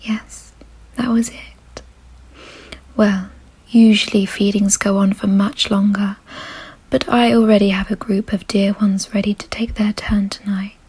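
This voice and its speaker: A woman playing a kind, doting aristocratic vampire in an ASMR roleplay, in a soft, breathy whisper with a gentle, genteel, reassuring manner. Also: medium pitch, level tone, measured pace. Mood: calm, reassuring.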